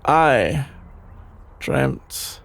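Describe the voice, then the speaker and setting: Man acting a creaky-voiced, flustered shy best friend. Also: mid-range voice, lively intonation, a bit bright, exaggerated voice. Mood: flustered, embarrassed. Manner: hesitant, halting, trailing off with sighs and nervous chuckles. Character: shy, flustered young male best friend, sleepy and embarrassed. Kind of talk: intimate ASMR audio roleplay, improvised-sounding dialogue.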